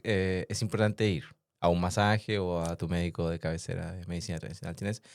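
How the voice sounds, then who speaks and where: A man hosting a podcast interview in a casual, chatty way, laughing often and making quick asides. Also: sits low, little pitch movement, creaky, no room sound, clean studio sound. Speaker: podcast host and massage therapist.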